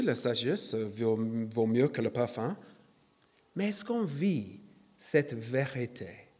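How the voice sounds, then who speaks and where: A man's voice: a little high, darkish tone. A man preaching a sermon in a church, hesitant with many fillers and self-deprecating.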